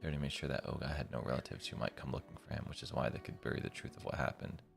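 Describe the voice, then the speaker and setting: A man's voice: extremely low pitch, rapid-fire, vocal fry. A man live streaming, reading a visual novel's narration aloud and breaking off to comment casually. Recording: music behind the voice. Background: guitar music from the game.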